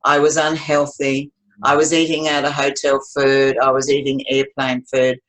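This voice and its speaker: A woman's voice: a bass voice, monotone, normal speed, poor audio. A woman in her seventies, telling her life story to an interviewer in a rambling, chatty way, with many restarts. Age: 70s. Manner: rambling, warm storytelling with frequent restarts and laughs. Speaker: interview guest.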